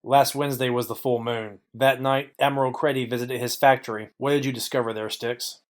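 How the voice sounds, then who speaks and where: A man acting as a cool noir private eye in a mystery audio play, in a medium-pitched voice. He lays things out deliberately, with confidence and wry wit. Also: fairly even intonation, natural voice. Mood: assured. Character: cool, clever male private detective.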